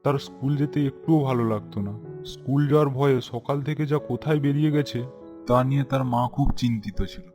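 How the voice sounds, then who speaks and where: A man narrating a cartoon story calmly and evenly. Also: mid-range voice, quick pace, faint music behind.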